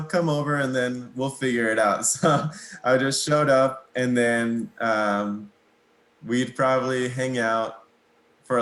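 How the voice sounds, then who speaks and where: A young man from Mexico whose first language is Spanish, answering interview questions in a relaxed, hesitant way with many pauses and small laughs. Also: mid-range voice, roomy sound. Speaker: guitarist, interview guest.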